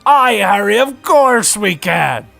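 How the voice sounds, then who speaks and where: Man playing a boisterous rustic half-giant, gravelly. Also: sits high, sing-song, slow pace, sharp tone, no echo, heavily acted. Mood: warm, coaxing. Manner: rustic British dialect, hearty. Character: boisterous, crude half-giant groundskeeper man, kindly to kids.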